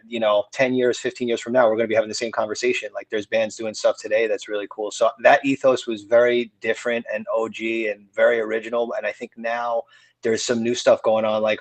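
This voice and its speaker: A man's voice: mid-range voice, no room sound. A man in his forties, a hardcore band singer, talking casually as a podcast guest, rambling with many fillers and restarts. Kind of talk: casual interview chat.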